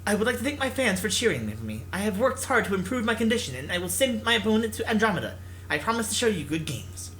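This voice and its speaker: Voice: mid-range voice, sing-song, fast talker, a bit bright, a little hiss or hum, low-quality recording. A gamer voicing a stiff, earnest young woman. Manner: formal, stilted phrasing.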